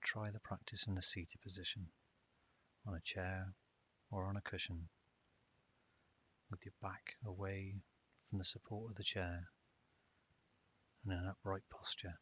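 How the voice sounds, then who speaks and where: A man narrating a guided meditation in a calm, measured way with long pauses. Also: low voice. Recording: dull lo-fi audio with hiss.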